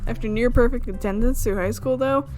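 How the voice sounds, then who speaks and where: A woman's voice: a little high, fairly quick, darkish tone, soft background music. A woman game streamer reading a visual novel aloud, playfully stumbling over words and breaking into casual asides. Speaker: gaming channel host.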